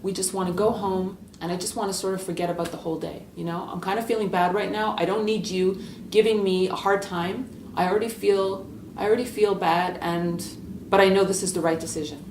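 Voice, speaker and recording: A woman's voice: mid-range voice, steady tone, fairly quick, a little mellow, a little hiss or hum, natural voice. Weary, resolute male football player, played by a woman storyteller, subdued and hesitant, roomy distant sound. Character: dejected male star quarterback, weary and resolute. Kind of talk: acted dialogue in a spoken story. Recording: echoey room, distant from mic.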